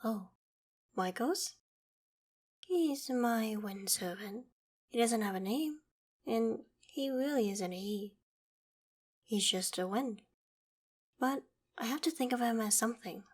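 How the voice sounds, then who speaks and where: A woman's voice: fairly high pitch, lively intonation, slow, pauses often. A woman voicing a proud, vain ancient dragon in human form for an ASMR roleplay drama, with formal, haughty, theatrical phrasing. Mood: hospitable, curious.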